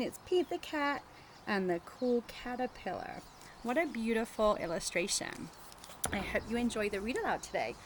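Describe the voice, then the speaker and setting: A woman teacher doing an animated read-aloud of a picture book for young children, chatting and asking them questions as she goes. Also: medium pitch, noisy background. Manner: engaging the children with questions and tag questions.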